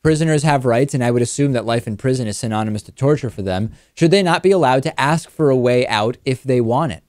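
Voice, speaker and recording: A man hosting a conversational political talk show, reasoning aloud in a measured way. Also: medium pitch, a little mellow, dry recording, studio quality. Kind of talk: conversational political talk, reading listener questions.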